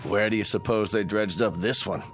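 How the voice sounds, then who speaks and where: An actor in a radio drama playing a jovial, pushy ship passenger with a joking, insistent manner. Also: male voice, on the low side, fairly even intonation, normal speed, fairly bright tone, faint music behind, close to an everyday voice. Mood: wisecracking.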